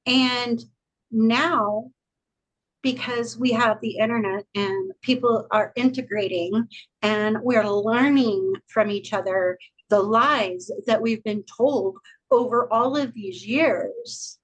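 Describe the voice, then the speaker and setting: Woman podcast co-host, earnest and frank, a little high-pitched. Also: slow pace, low-quality recording. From United States. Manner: earnest, frank, laughs easily. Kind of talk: casual chat.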